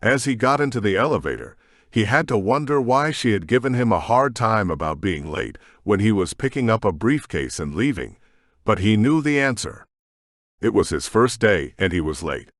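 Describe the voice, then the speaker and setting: A man's voice: fairly low pitch, very animated, moderate pace, no room sound, clean studio sound. A man reading scripted fiction as an audiobook narrator. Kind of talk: scripted sci-fi story narration.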